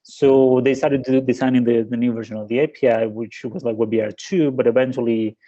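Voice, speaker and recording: A man's voice: medium pitch, fairly even intonation, fairly quick, mellow, highs cut off. A man from Spain, a guest in an interview, talking casually with many fillers and restarts.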